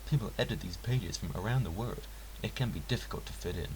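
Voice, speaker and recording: A man's voice: fairly low pitch, quick pace, darkish tone. A man reading a scripted first-person story aloud in an earnest, theatrical way. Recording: hissy, clearly noisy home recording.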